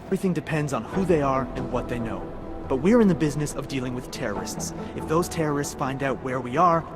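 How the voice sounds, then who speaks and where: An actor playing an anxious, sardonic covert agency colleague in a video game cutscene, nervous and wry. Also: male voice, mid-range voice, fast talker, over music, lightly acted.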